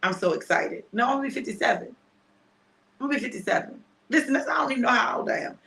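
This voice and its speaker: A woman in her fifties, chatty and repetitive, mixing a prophetic word with a casual sales pitch and often asking "right?". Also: animated, fast, gravelly, sharp tone. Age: 50s. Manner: chatty, repeats phrases, asks "right?". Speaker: preacher selling a product.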